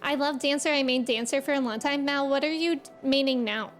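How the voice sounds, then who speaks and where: A woman's voice: high voice, fairly quick. A woman game streamer chatting casually and appreciatively with her viewers in an informal way. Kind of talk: casual livestream chat with viewers. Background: game music.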